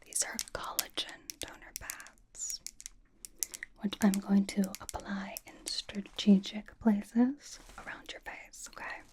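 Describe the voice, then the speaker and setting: A woman's voice: medium pitch, fairly even intonation, slow, a little mellow, close to an everyday voice. A woman playing a playful, teasing young female K-pop idol in an ASMR roleplay, whispering casual, reassuring skincare pampering. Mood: soothing, instructive. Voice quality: breathy whisper. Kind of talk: ASMR roleplay, soft personal-attention whispering.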